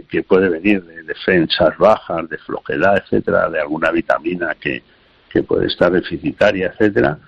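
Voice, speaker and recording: Low gravelly man giving radio advice. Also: lo-fi sound. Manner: hesitant, repeats words, many fillers. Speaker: radio health advisor.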